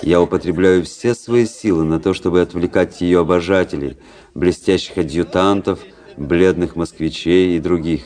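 An actor playing a cynical, world-weary young aristocratic officer in a radio play, narrating in a measured, ironic literary manner. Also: male voice, deep voice, a little mellow, lightly acted. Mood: smug, ironic. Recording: radio play with faint music behind.

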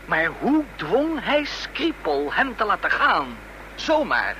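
Een acteur die in een hoorspel de felle, directe mannelijke metgezel van een vluchteling speelt. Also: male voice, high-pitched, huge pitch swings, slow pace, pushed and bright, exaggerated voice. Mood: questioning. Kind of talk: radio drama acting. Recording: noisy old radio play recording.

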